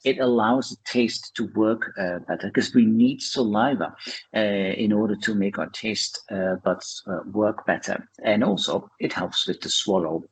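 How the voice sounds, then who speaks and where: A man from Belgium with a Flemish accent, a Dutch speaker, talking as a guest in an interview, pausing often with fillers. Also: fairly low pitch, slightly rough, poor audio. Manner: hesitant, many uh and um fillers. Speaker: guest, charity founder. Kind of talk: conversational interview.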